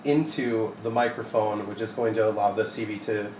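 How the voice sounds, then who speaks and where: A man's voice: on the low side, fairly even intonation, normal speed. Man teaching in an echoey, noisy room. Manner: plain-spoken, step-by-step. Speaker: repair technician demonstrating a modification. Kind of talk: hands-on tutorial, informal explaining. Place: small cluttered electronics repair workshop, indoors. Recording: echoey room with clear background noise.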